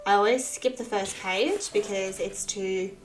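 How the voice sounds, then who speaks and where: Woman, husky from a cold, light vocal fry, chatty and self-deprecating, medium pitch. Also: a little slow, soft background music, poor audio. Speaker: artist and channel host. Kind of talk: casual sketchbook tour vlog.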